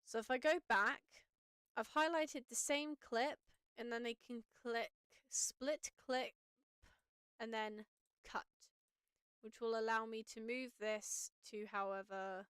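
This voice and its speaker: Slightly raspy woman talking through edits. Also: on the high side, steady tone, a little slow, a bit bright, dry recording, clean studio sound. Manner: chatty, thinking aloud while editing. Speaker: streamer teaching audio editing. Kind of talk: casual live-stream tutorial.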